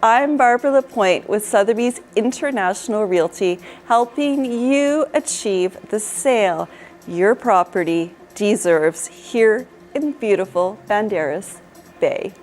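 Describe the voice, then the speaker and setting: A woman presenting a real estate segment on a local news show, interviewing guests and reading a promo in a measured way. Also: mid-range voice, animated, darkish tone, slight background noise.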